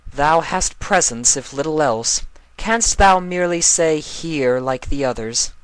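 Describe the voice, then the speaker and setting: A man's voice: fairly high pitch, animated, measured pace, clear, resonant tone, no room sound, pitched above the performer's own voice, rough recording. A man voicing a stern, regal female pony princess with archaic, formal, imperious speech, in a fan fiction reading.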